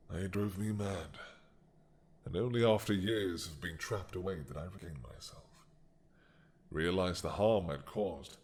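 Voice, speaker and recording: A man playing an ancient, regretful dragon king in an audio roleplay, talking slowly and softly with sighs. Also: low-pitched. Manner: slow, soft-spoken, musing with sighs.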